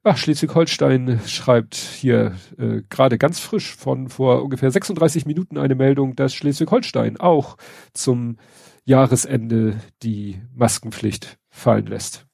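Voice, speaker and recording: Ein Mann mit Schnupfen, der in lockerem Plauderton und mit trockenem Sarkasmus über Neuigkeiten spricht, oft mit Füllwörtern. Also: male voice, mid-range voice, unhurried, gravelly, darkish tone, breathy edge, no echo, clean studio sound. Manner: wry, sarcastic, hesitant with many fillers. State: stuffy nose from a cold. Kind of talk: casual news chat.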